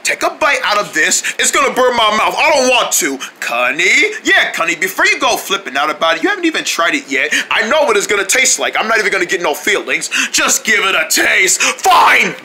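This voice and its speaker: A man voicing excitable, easily panicked cartoonish characters in a comedy skit, with exaggerated, dramatic delivery. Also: high-pitched, a little fast, hardly any pauses, heavily acted. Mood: casual bickering.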